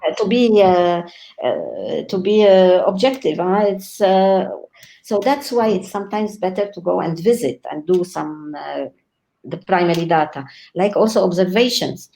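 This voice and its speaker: A woman's voice: lowish voice, animated, unhurried, vocal fry. Lebanese-born woman, enthusiastic interview guest. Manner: warm, enthusiastic, frequent laughs and hesitations. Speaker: guest scholar. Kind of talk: online interview.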